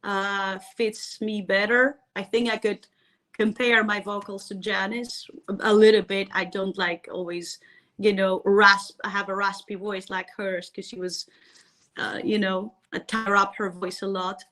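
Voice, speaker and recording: A woman's voice: mid-range voice, flat delivery, measured pace, a bit bright. A woman from Greece with a Greek accent, a metal singer chatting as a guest in a live interview, laughing often and talking with plenty of fillers. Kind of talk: casual live-stream interview.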